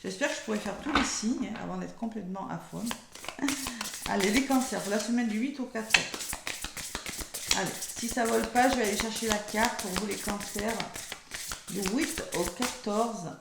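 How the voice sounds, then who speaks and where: A French woman who is losing her voice, speaking softly and hoarsely as she gives a weekly tarot card reading, slowing down when reading cards aloud. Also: mid-range voice, roomy sound, very noisy. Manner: soft-spoken, slow and measured when reading cards aloud.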